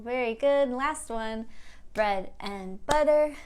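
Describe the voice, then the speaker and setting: A woman's voice: a little high, takes it slowly, clear, resonant tone. A woman hosting a storytime for young children, speaking in simple encouraging phrases and sing-song reading. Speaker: children's storytime host at a library. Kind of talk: children's storytime with songs and picture-book read-aloud.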